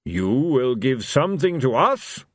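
A man's voice: medium pitch, big pitch swings, a little slow, dry recording, pitched above the performer's own voice. A man voicing an elderly, absent-minded male god who talks hesitantly and searches for words in a storybook reading. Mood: benign, grand.

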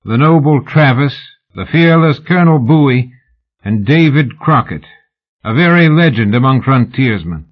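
A man's voice: medium pitch, expressive, normal speed, dull audio, voice pushed up, close to an everyday voice. A man voicing a boastful old general in an audiobook, speaking in a pompous, oratorical way. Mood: boastful oratory. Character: old foreign general, boastful war hero giving pompous speech.

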